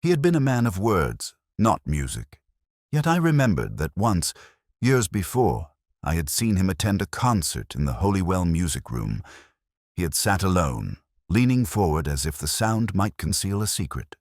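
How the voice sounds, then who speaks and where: Very deep-voiced man narrating detective fiction. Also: sing-song, dry recording, clean studio sound. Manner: measured, solemn, reflective. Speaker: audiobook-style narrator. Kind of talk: scripted first-person literary detective narration.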